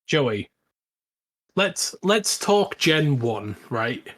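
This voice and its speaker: A man with a middle-pitched voice talks as a podcast co-host. He explains things in a casual, conversational way, as banter among a group. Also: big pitch swings, drawn-out words, a bit bright.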